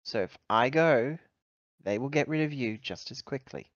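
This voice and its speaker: A woman's voice: very deep voice, animated, normal speed, no echo, lo-fi sound. A woman playing a sardonic, exasperated corporate executive who sighs and speaks pointedly in a tabletop game. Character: exasperated female corporate executive, sardonic, scolding her subordinate.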